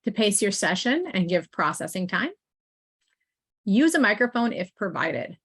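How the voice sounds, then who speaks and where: A woman in the middle of an online training session, speaking in a mid-range voice through a computer mic. She talks in a loose, conversational way, full of small fillers and quick asides to the people listening. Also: mid-range voice, sing-song, a bit bright, breathy edge. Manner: friendly and informal, with frequent fillers and asides. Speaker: assistive technology consultant presenting a training. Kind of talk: conversational webinar presentation.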